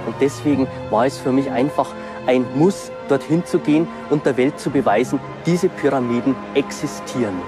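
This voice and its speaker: A man gives a documentary interview in a mid-pitched voice. Music plays clearly behind him. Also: moderate pace. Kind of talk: interview talk. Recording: voice over background music.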